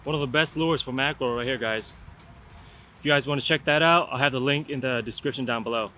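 A young man vlogging while fishing on an outdoor sea pier, chatty and excited, talking to viewers into a camera mic. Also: medium pitch, fast, slight background noise. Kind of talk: casual vlog narration while fishing. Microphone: camera-mounted shotgun mic.

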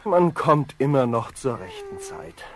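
A lazy, smug peasant husband from a fairy-tale radio play. He drawls theatrically and slowly, his pitch swinging widely. He sounds foolishly content with himself, on an old recording with faint noise. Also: wildly swooping pitch. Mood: drowsy storytelling.

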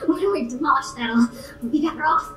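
A woman playing a gruff, snappish, contemptuous man who is turning into a rhinoceros, in a radio play. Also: high voice, fast, fairly bright tone. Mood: scornful. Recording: roomy with faint noise and clicks.

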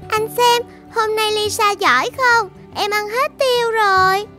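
Very high, sweet little girl. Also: fairly even intonation, slow pace, faint music behind, full character voice. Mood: cheerful. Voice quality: childlike, bright. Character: sweet, frail little girl, affectionate younger sister. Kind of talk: animated children's story dubbing.

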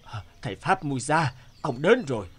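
Actor as devoted cartoon monarch, worried, regal, expressive pitch. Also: male voice, moderate pace, slight background noise, lightly acted. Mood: anxious, determined. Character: devoted, anxious middle-aged king.

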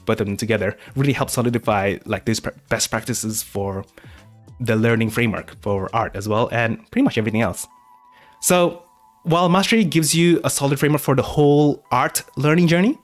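A man hosting a live question-and-answer stream, talking casually with many fillers and restarts. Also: medium pitch. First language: English. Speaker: art channel host.

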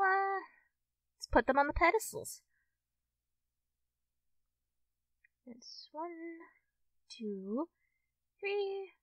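Woman as shy, excitable fox girl for ASMR friend roleplay; halting, unhurried delivery, dry sound, slightly off-mic. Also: high-pitched, dry recording, distant mic, full character voice. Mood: friendly, playful. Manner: hesitant, halting, giggly, addressing the listener as a friend. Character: friendly young fox-tailed cartoon studio girl, shy, easily excited. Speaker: roleplay performer.

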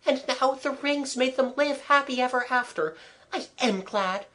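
A woman voicing an excitable, romantic and rather scornful schoolgirl in a storybook, speaking emphatically. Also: high-pitched, a little slow, voice pushed up. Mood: scornful, thrilled.

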